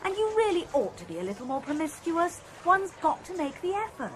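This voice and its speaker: A prim, gossipy young woman scolds her friend in a radio play. Her voice is high and swoops widely from phrase to phrase, with noise under the recording. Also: very animated, fairly quick. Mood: gossipy scolding.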